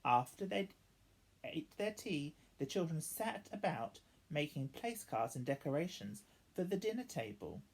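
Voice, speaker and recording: A woman's voice: sits low, unhurried, breathy edge. A woman reading a children's storybook expressively, as a storyteller who acts out the dialogue. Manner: animated, acting out each character's dialogue.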